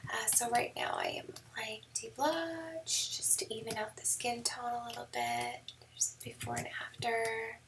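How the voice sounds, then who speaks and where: Hoarse, whispery woman, gravelly tone. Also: fairly high pitch, unhurried, recorded from a distance. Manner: relaxed, with many ums. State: losing her voice, hoarse and whispery. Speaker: newborn photographer. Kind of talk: screen-recorded editing tutorial. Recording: mic set back, faint background noise.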